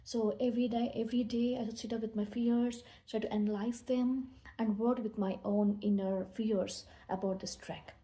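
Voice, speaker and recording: A woman with an Indian accent gives a personal storytelling talk as a meditation speaker, earnest and halting, often repeating words. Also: on the high side, fairly even intonation, dark tone.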